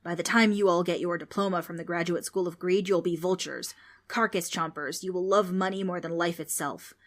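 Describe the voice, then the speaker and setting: A woman reading aloud, voicing a loud, scornful male ghost who mocks children. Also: lowish voice, steady tone, brisk, lightly acted. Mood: mocking. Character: bossy male ghost, gruff and mocking.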